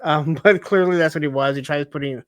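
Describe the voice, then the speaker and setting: Dude w/ higher-ish voice chatting about a tv episode, asks rhetorical questions, dry close sound. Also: male voice, fairly quick, no echo. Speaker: co-host of a TV recap show. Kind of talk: casual chat recapping and critiquing a TV episode.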